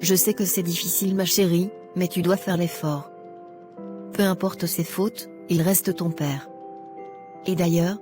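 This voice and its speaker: A woman playing a devout, supportive young friend in a cartoon drama, gently admonishing. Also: fairly low pitch, close to an everyday voice.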